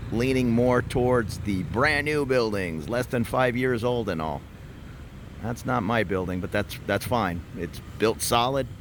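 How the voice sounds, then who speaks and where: Chatty man vlogging on a noisy street. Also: on the low side. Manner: rambling, chatty, many fillers. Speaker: expat vlogger. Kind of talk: casual walking vlog. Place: outdoors on a city street. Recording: street traffic noise behind.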